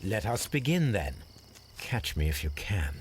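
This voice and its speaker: A man playing a calm, confident Victorian gentleman detective in a video game, with a British accent and a precise, formal manner. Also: on the low side, measured pace, slight background noise. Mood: musing. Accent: British English.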